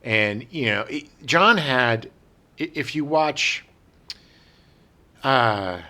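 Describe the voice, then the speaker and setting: Animated man chatting about movies. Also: mid-range voice, drawn-out words. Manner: animated, rambling, self-correcting. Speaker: podcast co-host. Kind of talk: casual chat about movies.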